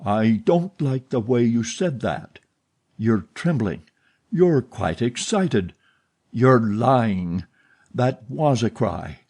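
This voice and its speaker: A man reading an audiobook, voicing a jealous, menacing man who speaks forcefully and with menace. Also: mid-range voice, expressive, slow, breathy edge, exaggerated voice.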